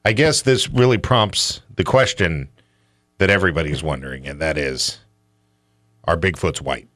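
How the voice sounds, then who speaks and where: A man's voice: rumbling low, moderate pace, fairly bright tone, no room sound, clean studio sound. A middle-aged man co-hosting a casual talk show, joking in a wry, mock-serious way. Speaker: radio show co-host. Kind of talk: casual comic banter.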